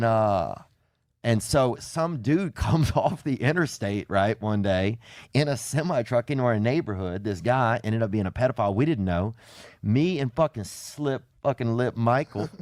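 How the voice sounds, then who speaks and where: A man telling comic stories casually in a rambling, colloquial way with folksy deadpan phrasing. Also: mid-range voice, moderate pace, no echo, clean studio sound. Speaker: podcast storyteller. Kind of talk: casual comedic storytelling.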